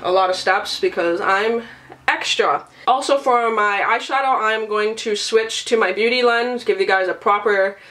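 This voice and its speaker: A woman's voice: medium pitch, normal speed, a bit bright. A woman with a sick, nasal voice chatting casually and rambling as a beauty and book vlogger, swearing freely. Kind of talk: casual rambling vlog chat.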